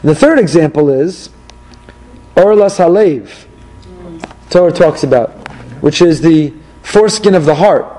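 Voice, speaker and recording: A rabbi leading a Torah class, animated and questioning his listeners as he goes. His voice sits high for a man, and he repeats key phrases to drive them home. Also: measured pace, pauses often, poor audio.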